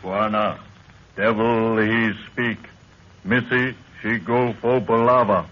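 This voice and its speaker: An actor playing an anxious native warrior guide in a 1930s radio adventure, speaking halting broken English. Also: male voice, fairly low pitch, steady tone. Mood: urgent. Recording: dull, noisy 1930s radio recording.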